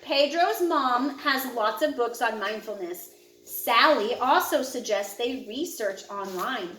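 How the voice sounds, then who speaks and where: High-voiced woman reading slowly to children. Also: animated, fairly bright tone, roomy sound, a little hiss or hum, rough recording. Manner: measured, instructional, clearly phrased for young children. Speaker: audiobook narrator. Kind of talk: scripted children's picture book narration.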